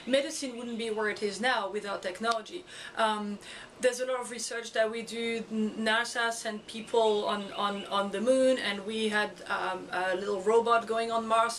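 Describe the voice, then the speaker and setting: A woman with a French accent, a school educator talking casually to her camera in a halting way, with many fillers and restarts. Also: on the high side, a bit bright, far from the mic, slight background noise, low-quality recording. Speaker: school educator and video blogger. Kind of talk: casual vlog talk to camera. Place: outdoors or home setting in Florida. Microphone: camera mic.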